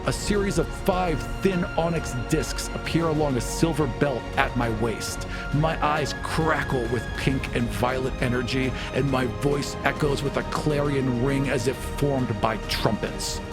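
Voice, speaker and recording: A man narrating a tabletop game as game master, in a deliberate, dramatic, descriptive style. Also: mid-range voice. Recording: music behind the voice.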